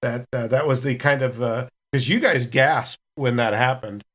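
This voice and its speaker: Mid-pitched man telling casual podcast anecdotes. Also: normal speed, fairly bright tone. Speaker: podcast cast member.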